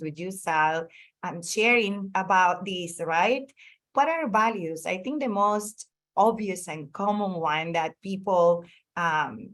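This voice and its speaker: Earnest woman panelist, mid-pitched. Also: fairly bright tone. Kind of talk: webinar panel discussion.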